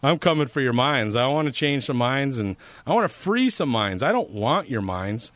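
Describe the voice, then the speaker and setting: A man's voice: mid-range voice, expressive, moderate pace, a bit bright, no echo. A man filling in as a talk radio host, rambling casually with a sarcastic edge and frequent restarts.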